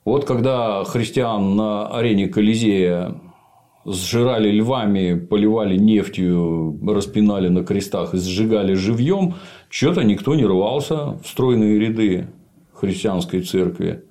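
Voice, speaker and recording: A man in his late fifties with a very low, dark, mellow voice. He talks bluntly and emphatically, full of slang, like someone chatting freely with a friend. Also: unhurried. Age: 50s. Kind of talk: casual conversation.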